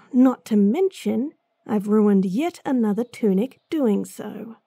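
A woman voicing a gruff, gravelly bounty hunter. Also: mid-range voice, lively intonation, takes it slowly, mellow, no room sound, studio quality, full character voice. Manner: blunt, sardonic, sighing often. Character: gruff, impatient female bounty hunter swordswoman, young adult. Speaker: voice actress. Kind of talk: fantasy roleplay audio drama.

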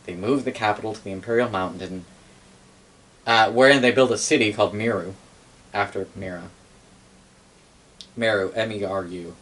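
A man's voice: lowish voice, moderate pace, pauses often, fairly bright tone, rough recording. A young adult man telling a story casually and off the cuff in a wry, sweary manner, recorded in a living room by a camera a few metres away. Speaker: storyteller recounting game lore. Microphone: camera mic a few metres away. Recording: room recording with clear background noise.

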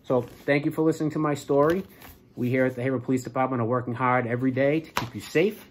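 A man's voice: medium pitch, steady tone, quick pace. Man reading to children over background noise. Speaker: police lieutenant reading to schoolchildren. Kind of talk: children's storybook read-aloud and address to pupils. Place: small office, indoors.

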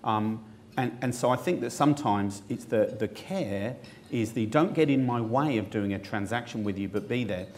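A man, speaking on a panel with frequent restarts and lively asides. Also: medium pitch, a little hiss or hum. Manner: hesitant restarts, animated asides. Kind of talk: panel discussion. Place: event studio with branded backdrop. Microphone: lapel mic.

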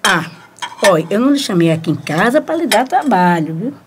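An actress with a Brazilian accent playing a homely former schoolteacher in a folksy radio soap style. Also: female voice, medium pitch, very animated, fairly quick, background noise, close to an everyday voice. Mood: chatty. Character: friendly, homely female former schoolteacher with plans. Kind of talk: radio soap opera acting. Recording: clattering dishes behind the voice.

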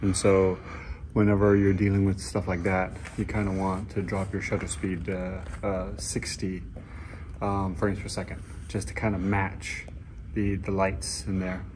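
A man's voice: sits low, flat delivery, a little slow, a little mellow, a little hiss or hum. A man in his thirties explaining things casually and off the cuff as a videographer. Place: large indoor church hall.

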